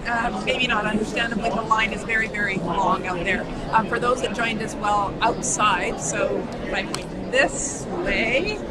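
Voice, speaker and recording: A woman's voice: medium pitch, unhurried, few pauses. A woman hosting a live charity stream, chatty and full of fillers, losing her voice. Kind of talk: live event commentary. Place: busy indoor gala hall. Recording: loud crowd and noise behind.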